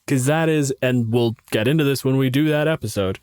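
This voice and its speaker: A man's voice: mid-range voice, fairly quick, darkish tone, clear, resonant tone, no echo. Casting: male podcast co-host, blunt and argumentative, swears freely, chatty delivery, dry recording. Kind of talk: casual banter, answering listener questions.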